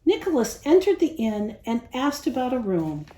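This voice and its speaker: A woman reading a children's story aloud as a storyteller, in an expressive narrating style. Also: a little high, normal speed, slight background noise. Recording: echoey, roomy sound.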